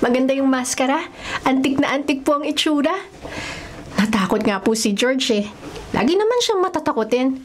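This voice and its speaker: A woman's voice: high voice, expressive, moderate pace, pauses often. A woman playing a confident, dismissive older sister in a radio horror drama, with a chatty, slightly bossy delivery. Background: background music.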